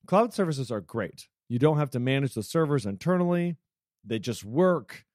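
A man's voice: mid-range voice, big pitch swings, normal speed, darkish tone, no room sound, studio quality. A man co-hosting a podcast, telling stories in a rambling, self-deprecating way. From United States. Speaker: podcast co-host. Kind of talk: casual chat.

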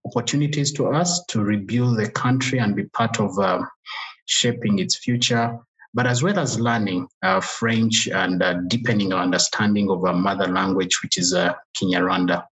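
Low-voiced man. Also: steady tone, a bit bright, rough recording. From Uganda. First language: Luganda. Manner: measured, pauses often with "uh". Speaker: guest. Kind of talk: interview conversation.

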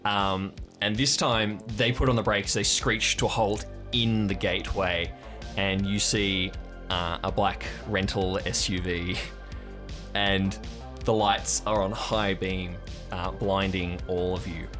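A man with a fairly low voice acts as game master for an improvised audio drama. He builds scenes aloud in descriptive narration, with faint music playing underneath. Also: level tone, slow pace.

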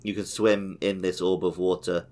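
A man's voice: deep voice, little pitch movement, moderate pace, darkish tone. A man co-hosting a podcast, chatting casually about a video game. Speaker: podcast co-host. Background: background music.

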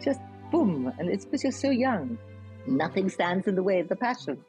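An older woman telling a personal story in a dramatic, emphatic way, with a husky voice. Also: medium pitch, sing-song, normal speed, a little mellow, soft background music. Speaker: discussion participant.

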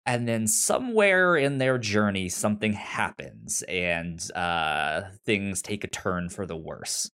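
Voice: low-pitched, expressive, slow, few pauses, fairly bright tone, smooth. A podcast host of unclear sex chatting casually about television, often stumbling and repeating words while explaining. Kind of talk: casual TV show discussion.

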